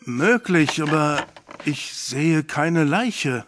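An actor playing an alarmed, superstitious companion to a detective in a radio play, incredulous and dramatic. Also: male voice, fairly high pitch, animated, extremely slow, music in the background. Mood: alarmed disbelief.

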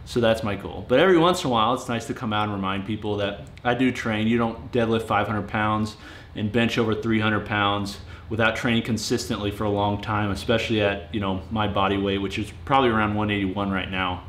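A man's voice: lowish voice, steady tone, a little fast, a bit bright. A man in his late twenties, a home gym reviewer, talking earnestly to camera in his garage gym with plain, unpolished phrasing. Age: 20s. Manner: earnest, plainspoken, with fillers and restarts. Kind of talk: casual vlog talk to camera. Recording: roomy garage echo, faint noise.

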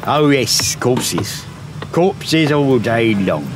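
A ruthless pirate captain of audio drama, acted by a man, speaks in a boastful, gloating way. His medium-pitched voice swings with relish over clear background noise. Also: expressive, extremely slow. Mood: gloating, menacing. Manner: pompous, gloating. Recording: noisy ambience behind the voice.

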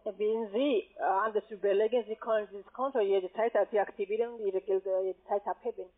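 A man with a foreign accent arguing as a phone sales agent, halting, repetitive and insistent. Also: sits high, fairly even intonation. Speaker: call centre investment agent. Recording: tinny narrow-band phone line.

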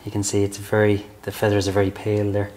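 An Irishman in his thirties with a low, fairly flat voice explains calmly and chattily, peppering his talk with "like, you know". He is recorded on a lapel mic inside a large open farm shed. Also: fast talker, low-quality recording. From Ireland. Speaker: barn owl expert. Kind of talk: explaining while handling birds.

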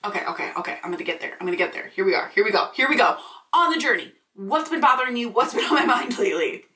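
A woman's voice: on the high side, rapid-fire. Chatty, profane young woman in echoey room. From Michigan. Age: 20s. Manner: chatty, profane, animated, ranty. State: congested from allergies. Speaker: vlogger. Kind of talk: casual ranting vlog. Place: small indoor room. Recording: roomy echo, slightly distant.

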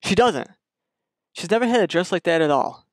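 An anxious young husband in a retro radio mystery, played by a man. He is agitated and defensive, with widely swinging pitch. The recording is dry and close. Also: lively intonation, moderate pace, no room sound. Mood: nervous, defensive.

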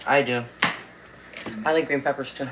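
Raspy woman livestreaming from her kitchen. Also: rumbling low, steady tone, moderate pace, background noise. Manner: chatty, greets viewers by name, drawling. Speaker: cooking livestream host. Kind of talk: casual livestream chat while cooking. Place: home kitchen, indoors. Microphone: tablet on the counter, close.

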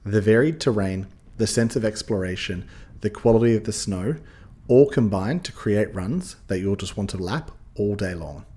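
A man's voice: fairly low pitch, moderate pace, mellow. A man giving upbeat scripted voice-over narration, guiding listeners step by step like a ski guide.

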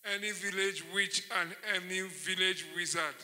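A man's voice: high voice, steady tone, normal speed, pushed and bright, poor audio. A man repeating prayer lines after a pastor, phrase by phrase, into a handheld mic. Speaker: congregant.

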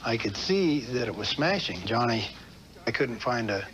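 A man's voice: mid-range voice, very animated, moderate pace, noisy background. A man with an American accent giving a personal recollection in an interview.

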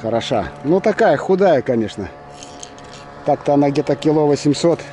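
A middle-aged man calmly commenting to himself while fishing from a small inflatable boat, picked up by an action camera outdoors. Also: fairly high pitch. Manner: calm, unhurried, talking to himself between casts. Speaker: fishing vlogger. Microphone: action camera on the boat and chest. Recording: outdoor recording with wind and water noise.

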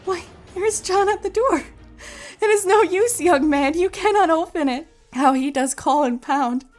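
A woman's voice: very high-pitched, a little slow. A woman playing an unhinged, obsessive woman confined to a room, speaking a confiding, theatrical monologue in an audio drama. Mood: agitated, defiant.

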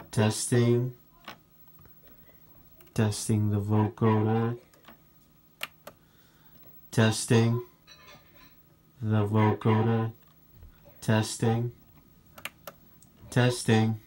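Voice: medium pitch, level tone, extremely slow, pauses often, darkish tone, a little hiss or hum. A vocoder-processed robotic voice of unclear sex repeating short mic-check test phrases as a synthesizer demo. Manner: repetitive mic-check delivery. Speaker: musician demonstrating a synthesizer. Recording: synthesizer tones over the voice. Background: synthesizer keyboard playing.

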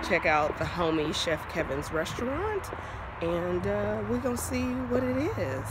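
A woman's voice: lowish voice, big pitch swings, unhurried, very noisy. A woman in her thirties, a food vlogger chatting casually and enthusiastically into a phone at arm's length in a restaurant. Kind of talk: casual vlog narration. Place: restaurant dining room. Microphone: phone camera at arm's length. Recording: loud music behind. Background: loud music.

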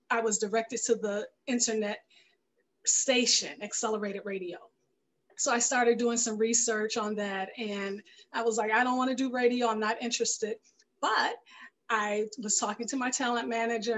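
A woman's voice: on the high side, poor audio. A middle-aged woman being interviewed on a video call, telling her story conversationally through a headset. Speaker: filmmaker and radio show host being interviewed. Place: indoor room on a video call.

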